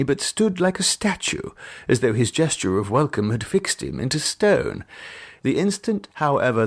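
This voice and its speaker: Expressive male gothic audiobook narrator. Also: mid-range voice. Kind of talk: dramatic scripted gothic narration, first person.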